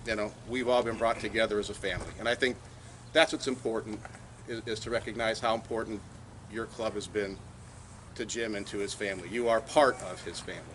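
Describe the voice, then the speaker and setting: A man's voice: mid-range voice, fairly bright tone, slight background noise. A man giving a speech at an outdoor dedication, telling a story and acting out phone calls. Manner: anecdotal, acts out phone calls in quotes. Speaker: speaker at a tower dedication. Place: outdoors at a radio club site.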